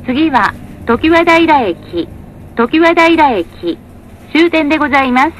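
A woman's voice: sits high, expressive, slow, a little hiss or hum, low-quality recording. A woman reading scripted bus announcements in a polite, formal, carefully articulated manner. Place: recorded announcement played inside a bus.

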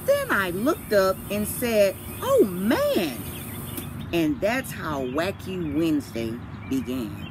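Animated, unhurried woman reading a picture book. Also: lowish voice. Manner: deliberate, dramatic. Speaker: teacher reading aloud. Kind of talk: expressive children's picture-book read-aloud. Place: outdoors by a brick house. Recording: outdoor ambient noise, birds, vehicles.

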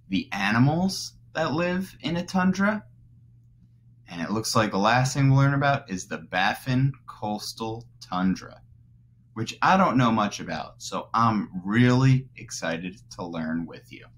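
A man in his twenties, a teacher reading aloud to young children and explaining as he goes, deliberately stressing key words. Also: medium pitch, a bit bright. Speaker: first grade teacher.

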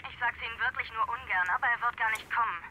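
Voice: medium pitch, quick pace. An actor playing a detached, apologetic military dispatcher in a video game, heard over a radio, clipped and procedural. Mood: regretful, detached. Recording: noisy, sharp radio transmission.

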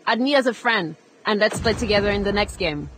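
An actress playing an eager, brisk female fighter in video game lines. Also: medium pitch, animated, a bit bright, a little hiss or hum, natural voice, low-quality recording. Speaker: game operator.

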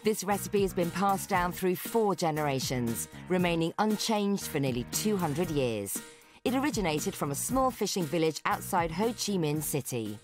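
Female voice-over narrator, low-ish, measured and scripted, music underneath. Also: lively intonation.

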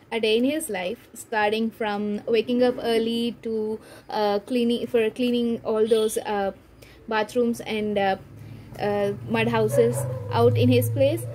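High-voiced young woman, noisy room. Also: a little mellow. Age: young adult. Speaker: book vlogger. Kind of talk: casual book review to camera. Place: small room at home, indoors.

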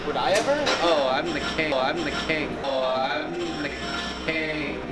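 A man's voice: fairly high pitch, measured pace. A man in his twenties chatting casually to camera as a vlog host, with a jokey, bragging manner. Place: large busy gym indoors. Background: loud music.

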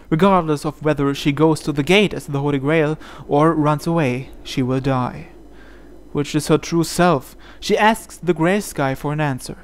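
A man reading a visual novel aloud as dramatic scripted narration. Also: fairly high pitch. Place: indoors, voice-over on game playthrough. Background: game music.

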